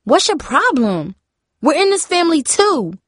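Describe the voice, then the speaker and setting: A woman's voice: mid-range voice, lively intonation, moderate pace, no echo. Teenage sister, acted by a woman reader: defiant, wounded, indignant, audiobook fiction, dry recording. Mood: outraged, hurt. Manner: indignant, stubborn. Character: defiant, hurt teenage girl, the outspoken sister.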